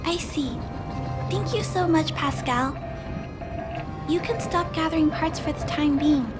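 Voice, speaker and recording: A woman voicing a gentle, curious little girl in a video game, thoughtful and earnest. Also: high voice, measured pace. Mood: gentle, reassuring. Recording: loud music behind the voice.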